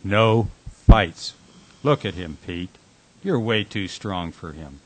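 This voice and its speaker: A gruff, husky-voiced bar owner, acted by a man for a radio play. He is fed up and keeps order with short, blunt commands. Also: sits low, animated, slow pace, full character voice, rough recording. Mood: firm. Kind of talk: radio drama acting.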